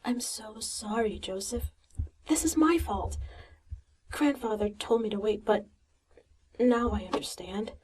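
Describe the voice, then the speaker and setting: A woman voicing a kind, cheerful young girl who speaks warmly and comfortingly. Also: on the high side, sing-song, normal speed, long pauses, slightly rough. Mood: sorry. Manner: bright and comforting. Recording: distant mic with soft music.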